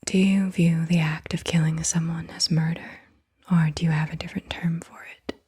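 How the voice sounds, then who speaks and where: A woman ASMR host whispering softly and deliberately in short, breathy phrases, guiding the listener through questions. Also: low voice, level tone. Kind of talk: soft-spoken whispered ASMR, guided questions.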